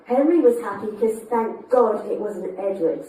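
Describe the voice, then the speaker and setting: A woman's voice: medium pitch, normal speed, warm tone, a little hiss or hum, lightly acted. An actress playing a young Tudor queen in a stage musical, speaking in casual, modern phrasing. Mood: tender, wistful. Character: young Tudor queen, chatty, by turns cheeky and tender. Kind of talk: musical theatre monologue and banter. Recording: very echoey theatre, distant, music behind.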